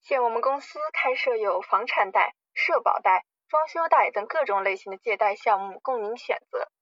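A woman playing a smooth-talking, scheming loan company manager with polite, formal sales patter in an amateur radio drama. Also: a little high, lively intonation, moderate pace, a bit bright, no echo, dull audio. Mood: polite, salesy.

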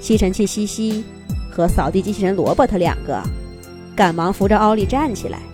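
A woman's voice: medium pitch, expressive, moderate pace, music in the background. A woman narrating a children's fairy tale expressively from a script, as a storyteller.

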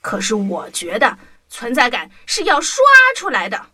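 High, animated woman storyteller. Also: moderate pace. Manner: playful, animated, first-person worm diary. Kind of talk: children's storybook narration. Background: background music.

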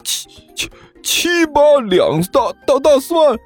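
Man acting a high-pitched, flustered, hot-tempered student. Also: big pitch swings, slow pace, soft background music, exaggerated voice. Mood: incredulous. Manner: stammering, whining, shrill outbursts. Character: exasperated, hot-tempered young male student, easily flustered. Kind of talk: audiobook character acting.

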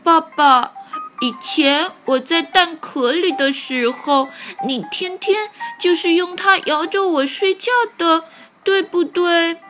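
A woman voicing an excitable, affectionate newborn duckling in a storybook, with childlike, pleading calls. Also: extremely high pitch, slow, voice pushed up, exaggerated voice. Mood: happy, affectionate. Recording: soft music behind the voice.

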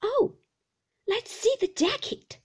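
A woman's voice: very high-pitched, slow, darkish tone, pitched above the performer's own voice. Woman acting a wailing, fierce old shopkeeper man. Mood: frantic eagerness. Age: older. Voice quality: whining, rattling in the throat. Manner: wailing sing-song tune, rising and falling, exclamatory. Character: ugly, fierce, half-mad old rum-soaked male shopkeeper.

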